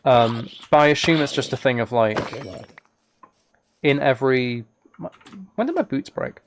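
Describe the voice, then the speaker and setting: A man's voice: mid-range voice, expressive, a little slow, background noise. A man live streaming a game, rambling and thinking aloud as he chats casually with viewers. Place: indoors at a computer, keyboard clicks behind.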